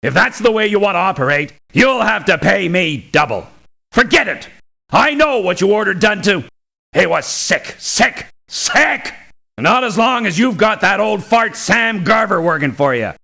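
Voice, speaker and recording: Cocky male game mercenary: bright forceful voice, slightly high, creaky, scornful and emphatic. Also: animated, a little slow, heavily acted. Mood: indignant. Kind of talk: video game voice lines.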